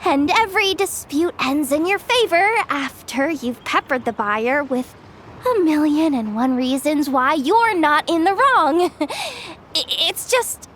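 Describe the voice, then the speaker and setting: A woman's voice: high-pitched, lively intonation, takes it slowly, fairly bright tone, a little hiss or hum, heavily acted. A woman playing a frazzled, earnest young female expo manager in a video game, flustered and stammering. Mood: pleading, resigned. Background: music.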